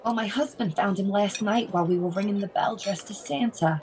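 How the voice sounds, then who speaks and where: A woman's voice: medium pitch, fairly quick, a little mellow, slight background noise, close to an everyday voice. A woman playing a kindly, practical cafe waitress in a radio play. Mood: kindly, brisk.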